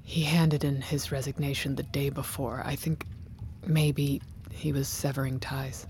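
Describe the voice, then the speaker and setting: Guarded woman executive, deep female voice, hushed, hesitant, consoling, naturalistic audio drama, slight background noise. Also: level tone, unhurried. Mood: grieving, consoling. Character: guarded, grieving woman tech founder, controlled and consoling. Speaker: tech company founder and CEO.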